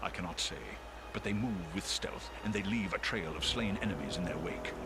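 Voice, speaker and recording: A man voicing a noble, courteous talking eagle in a video game, speaking formally and with measured gravity. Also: low voice, background noise. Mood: calm, watchful. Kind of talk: video game cutscene acting.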